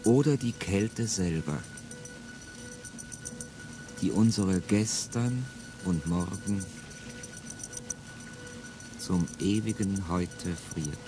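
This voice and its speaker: A brooding bitter revolutionary. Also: male voice, sits low, extremely slow, long pauses, music in the background, exaggerated voice, low-quality recording. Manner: brooding, rising to bitter outbursts. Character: embittered male revolutionary, world-weary and bitter. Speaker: radio play actor. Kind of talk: classic radio drama monologue.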